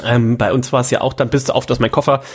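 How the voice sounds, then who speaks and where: Ein Mann mit norddeutschem Akzent, der als Gastgeber locker und gesprächig plaudert, mit lebhaften Tonsprüngen. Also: male voice, fast talker, breathy edge. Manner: chatty, with lively pitch jumps. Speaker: podcast host. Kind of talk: casual chat.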